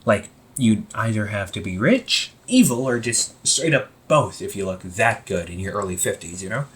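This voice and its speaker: A man playing an anxious, wry young supervillain in a casual audio roleplay, talking informally with exasperated sighs and halting phrases. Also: lowish voice, very animated. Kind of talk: amateur audio roleplay, casual conversational acting.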